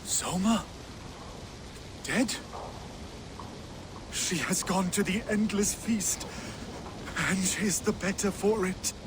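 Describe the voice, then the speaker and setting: A man playing a proud Danish warlord in a video game, declaiming with a gravelly voice and hunger for conquest. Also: high voice, takes it slowly, pauses often, background noise. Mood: stunned, resigned. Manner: declamatory, triumphant orator. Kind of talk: dramatic video game acting. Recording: music behind the voice.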